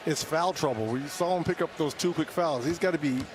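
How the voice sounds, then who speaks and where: A man's voice: on the high side, fairly quick, background noise. A man giving live TV basketball analysis in an instructive, emphatic way. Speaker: color analyst. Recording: loud music over broadcast feed.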